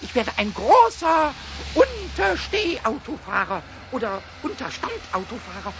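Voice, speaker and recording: Little kobold for a children's radio play: very high, shrill, whiny, excitable, stammering; noisy vintage recording. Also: male voice, measured pace, highs cut off, full character voice. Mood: gleeful, scheming. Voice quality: squeaky, shrill. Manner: stammering, repeating words excitedly.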